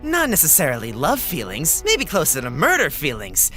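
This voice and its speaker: A performer voicing a small, bitter, menacing male adventurer who speaks in a halting, ominous way in an anime parody. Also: medium pitch, huge pitch swings, over loud music, pitched below the performer's own voice. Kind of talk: abridged anime parody skit.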